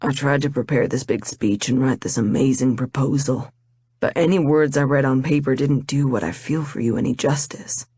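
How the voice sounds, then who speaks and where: A woman's voice: very deep voice, husky, no room sound, voice pushed down. A woman voicing a tender, earnest young man. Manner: soft, heartfelt, deliberate.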